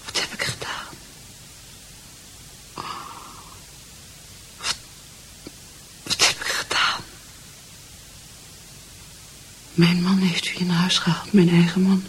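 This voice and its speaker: A woman's voice: fairly low pitch, completely flat delivery, moderate pace, natural voice, rough recording. An actress in a radio drama playing a distraught, lovesick married mother, pleading and often near whispering. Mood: anguished, bitter.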